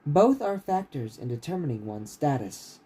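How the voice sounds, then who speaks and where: A man's voice: a little high, sing-song, normal speed, mellow, breathy edge. A man who reads his own fantasy writing aloud like a bedtime story on a casual livestream. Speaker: author reading own novel aloud.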